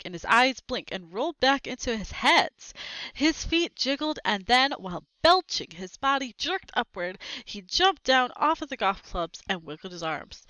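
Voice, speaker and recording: A woman reading a children's chapter book aloud, expressive, with asides to her viewers. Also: on the high side, no echo, dull audio. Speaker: read-aloud host.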